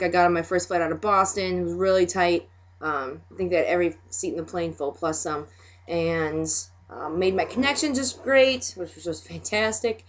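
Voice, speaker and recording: A young woman from Minnesota with a Minnesota accent, hosting a casual video chat, chatty and laughing often between remarks. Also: medium pitch. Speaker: host. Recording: dull lo-fi sound.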